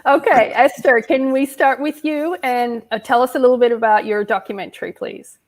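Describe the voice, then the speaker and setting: A woman hosting an online film festival Q&A in a relaxed, conversational way with frequent ums. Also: a little high, normal speed. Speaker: festival host.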